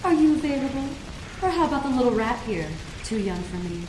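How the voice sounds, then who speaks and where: A woman's voice: sits high, sing-song, a little fast. Tough, sassy noir dame played by a woman, echoey. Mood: sardonic. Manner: sardonic, unflappable. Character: tough, sassy, cool noir lounge-singer gunslinger dame. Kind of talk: noir audio drama acting. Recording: echoey, noisy track with rain.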